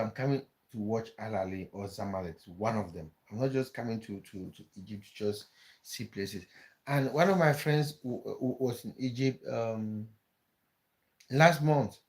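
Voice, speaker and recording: A man's voice: fairly low pitch, darkish tone. A man from Cameroon, hosting a casual live stream, chatting with viewers and often repeating words as he thinks aloud. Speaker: livestream host.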